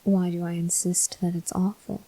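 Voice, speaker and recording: A woman playing a brooding, guilt-ridden male vampire officer in an ASMR roleplay, whispering breathily in slow, halting, formal phrases. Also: on the low side, level tone, warm tone, low-quality recording. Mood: self-loathing.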